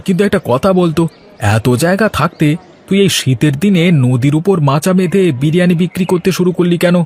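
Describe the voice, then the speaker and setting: A man playing a cheerful, higher-voiced village fellow. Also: fast, darkish tone. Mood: friendly, interested. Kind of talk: animated folk-tale cartoon dubbing.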